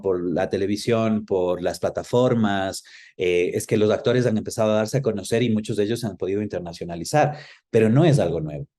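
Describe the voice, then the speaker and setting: A man's voice: lowish voice, dark tone. A man with an Ecuadorian accent speaking reflectively as an interview guest, explaining things in a conversational way. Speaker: interview guest, film professional. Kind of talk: conversational interview, personal recollection.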